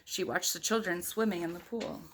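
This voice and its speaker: Low-voiced woman reading a picture book aloud. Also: normal speed, noisy background. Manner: informal, breaks off to talk to small children and ask questions. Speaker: mother reading a picture book to young children and viewers. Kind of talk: casual read-aloud storytime with chatty asides.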